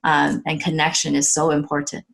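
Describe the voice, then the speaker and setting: A woman's voice: deep voice, monotone, moderate pace, gravelly, a bit bright, poor audio. A middle-aged woman talking earnestly and reflectively as a guest in a casual conversation.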